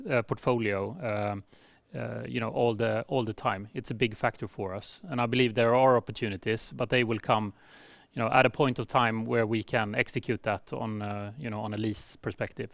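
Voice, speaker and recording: A man speaking as a company executive on an earnings call, halting often with fillers and repeated words. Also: mid-range voice, vocal fry, no room sound.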